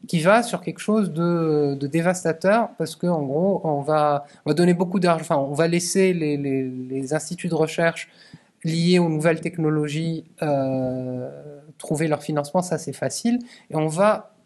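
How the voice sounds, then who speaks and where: A man's voice: on the high side, moderate pace, a little mellow. Hesitant man. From Tunisia. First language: Tunisian Arabic. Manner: hesitant, many restarts and fillers. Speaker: essayist answering audience questions. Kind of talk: conference talk.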